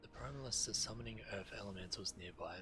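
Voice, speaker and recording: An actor playing a grave, resourceful shaman in a fantasy video game, with measured, deliberate, serious delivery. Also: on the low side, natural voice. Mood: grave, calculating. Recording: game music behind.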